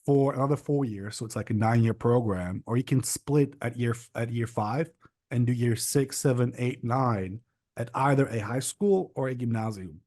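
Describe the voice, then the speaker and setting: Casting: young guy, chatty and rambling, mid-pitched voice, dry close sound. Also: moderate pace, darkish tone, no room sound. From Czech Republic. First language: Czech. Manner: rambling, restarts and stacks of fillers. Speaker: podcast guest. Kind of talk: casual interview chat.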